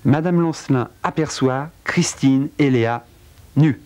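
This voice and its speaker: A man telling a dark true-crime tale in a wry, theatrical way, with a cold. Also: measured pace. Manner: wry, theatrical storytelling with big pitch swings. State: has a cold. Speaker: narrator. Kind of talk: dramatic spoken narration.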